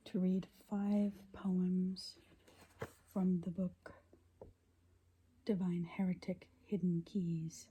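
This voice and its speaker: A woman reading poetry in a gentle, deliberate, breathy ASMR whisper. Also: fairly low pitch, level tone. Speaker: poetry reader.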